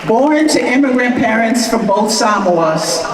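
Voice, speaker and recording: A woman's voice: on the high side, expressive, measured pace. A woman from the San Francisco Bay Area delivers a rousing keynote with emphatic, rallying force. Her voice rings through a big reverberant hall, heard from some way off the mic, with a crowd murmuring behind her. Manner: emphatic, rallying, rising to shouts. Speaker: keynote speaker. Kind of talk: rousing public speech. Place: large event hall. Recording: echoey hall, distant mic, crowd noise.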